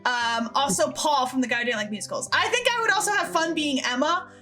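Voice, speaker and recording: A young woman hosting a casual group chat in an animated, jokey, sweary way. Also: high voice, a little fast. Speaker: podcast host and director. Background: background music.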